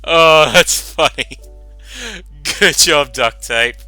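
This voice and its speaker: A man giving casual reaction commentary as host, talking in a halting, rambling way with lots of filler phrases. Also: a little high, sharp tone, smooth, faint music behind. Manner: halting, rambling, frequent "you know". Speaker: host giving commentary on a fan-fiction reading.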